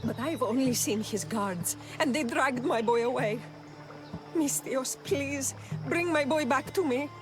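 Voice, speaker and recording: A woman's voice: sits high, a little slow. An actress playing an anguished mother pleading for her captured son in a video game cutscene. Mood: desperate pleading. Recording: music behind.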